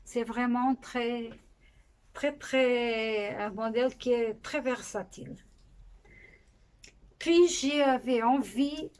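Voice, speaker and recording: A woman from Portugal speaking French with a Portuguese accent, hosting her knitting podcast in a casual monologue that often pauses and restarts. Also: fairly high pitch, a little mellow, clear, resonant tone. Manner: unhurried, with frequent pauses and restarts.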